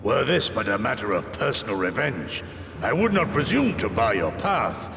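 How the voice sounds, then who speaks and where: An actor playing a stern, principled old samurai warrior in a video game, with a gravelly voice and theatrical, archaic delivery. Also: male voice, mid-range voice. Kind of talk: dramatic video game voice acting. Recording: echoey hall-like reverb under music.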